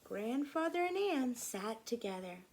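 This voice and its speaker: A woman reads aloud for young children in an unhurried, very expressive way, with simple teacherly phrasing. Her voice has some creak, and there is faint noise behind her. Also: fairly high pitch. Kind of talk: expressive children's read-aloud and gentle explanation.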